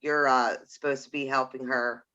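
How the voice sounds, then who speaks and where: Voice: mid-range voice, little pitch movement, normal speed, sharp tone, highs cut off. A podcast co-host in casual chat, talking hesitantly and reflectively with many fillers.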